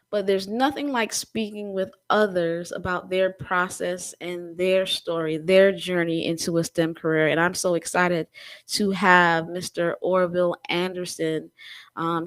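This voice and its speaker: A woman's voice: fairly low pitch, fairly bright tone. A woman hosting a live-streamed interview, speaking in a chatty, encouraging way. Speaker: host.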